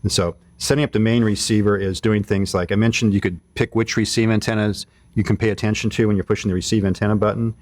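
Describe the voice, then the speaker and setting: Low-voiced man giving a casual demo. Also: fairly even intonation, fast, hardly any pauses. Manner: informal, explanatory, thinking aloud while operating. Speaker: product demonstrator. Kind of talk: casual hands-on product demo.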